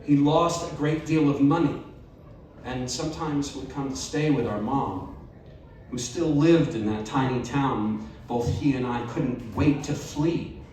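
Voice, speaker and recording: A man reading a personal essay aloud at a live event, measured and expressive with wry emphasis. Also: medium pitch, a little hiss or hum. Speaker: story reader. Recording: very echoey live room, distant mic.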